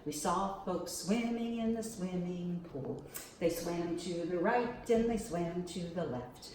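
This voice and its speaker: A woman hosting a children's storytime, reading aloud and leading counting rhymes in a slow, expressive, sing-song way. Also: lowish voice. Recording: echoey room with faint background hiss.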